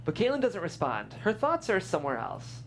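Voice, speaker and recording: A narrator reading stage directions aloud at a script table read, amused and lively. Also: mid-range voice, moderate pace, slight background noise.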